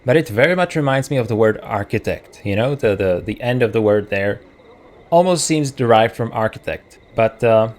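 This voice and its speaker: Let's-play host: a Czech-accented man of middle pitch, musing and correcting himself as he reads game dialogue aloud. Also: animated, moderate pace. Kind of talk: casual gameplay commentary, reading game text aloud.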